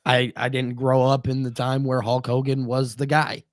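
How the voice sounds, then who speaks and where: A man in his mid-twenties co-hosts a wrestling talk stream at a medium pitch. He talks animatedly, swears freely and often doubles up his words. The recording is dry and close. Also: flat delivery, moderate pace, dry recording. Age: 20s. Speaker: co-host. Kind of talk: casual live-stream chat.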